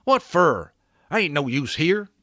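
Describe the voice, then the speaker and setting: A man voicing a rough, excitable grown cowboy who talks in folksy backcountry grammar. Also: a little high, a little slow, dry recording, clean studio sound, voice pushed up, exaggerated voice. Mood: indignant.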